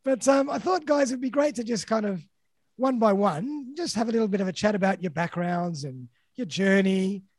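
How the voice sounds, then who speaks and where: A man's voice: high voice, animated, moderate pace, no room sound, clean studio sound. A man hosting a podcast interview, upbeat and full of praise for his guests. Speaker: podcast host.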